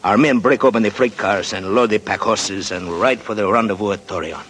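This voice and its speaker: A man's voice: lowish voice, brisk, fairly bright tone, slight background noise, lightly acted. An actor playing a secretive Mexican man who guards a buried gold secret, speaking broken English with a Mexican Spanish accent in a 1940s radio drama. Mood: earnest. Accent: Mexican Spanish (acted). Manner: broken English with Spanish words, wary and conspiratorial.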